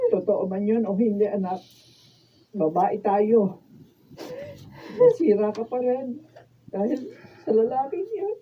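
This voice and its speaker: A husky, sorrowful protective mother. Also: mid-range voice, lively intonation, slow, long pauses. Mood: sad, resigned. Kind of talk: Filipino radio drama acting. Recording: distant, muffled microphone pickup.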